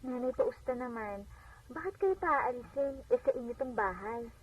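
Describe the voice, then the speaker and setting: A respectful, reassuring household companion in a radio drama. Her voice is fairly high and comes through dull and distant, like an old radio playback with faint noise. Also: animated, normal speed, husky. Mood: gently reassuring. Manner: gentle, reassuring, polite.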